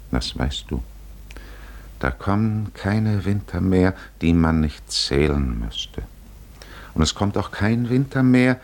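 A man narrating a 1950s literary radio play in a musing, measured manner with deliberate pauses. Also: low-pitched.

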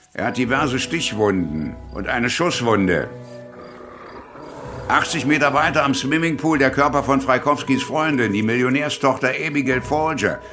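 An actor playing a matter-of-fact police sergeant giving a clipped crime scene report in a German radio play. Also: male voice, mid-range voice, close to an everyday voice.

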